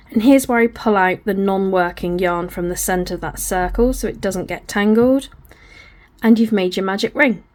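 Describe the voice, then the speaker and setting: A hoarse woman, patiently talking through a crochet tutorial step by step, often apologising as she goes. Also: medium pitch, a little mellow. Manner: patient, apologetic, talks through each hand movement.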